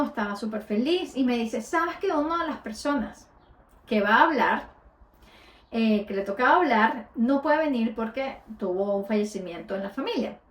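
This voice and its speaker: A woman's voice: on the high side, echoey room. Latin American woman telling her story. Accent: Latin American Spanish. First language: Spanish. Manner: conversational, with frequent hesitations. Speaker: podcast host. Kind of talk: personal storytelling.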